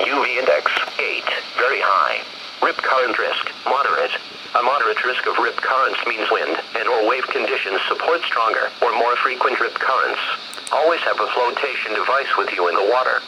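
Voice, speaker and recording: A synthetic-sounding man reads a scripted weather forecast over the radio in measured phrases. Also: mid-range voice. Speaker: automated weather radio announcer. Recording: weather radio broadcast with clear noise.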